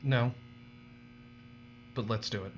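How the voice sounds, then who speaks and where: An actor playing a distraught husband in an audio drama, faltering and pleading. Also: male voice, medium pitch, takes it slowly, over loud music, natural voice. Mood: shaken, grieving. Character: distraught, desperate husband forced into grim labor. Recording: dull recording with humming mains noise.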